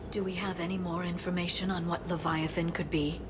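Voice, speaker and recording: An actress playing a calm, wary female squadmate in a video game, composed and measured. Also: on the low side, natural voice. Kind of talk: video game cutscene acting. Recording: very noisy vehicle and ambience background.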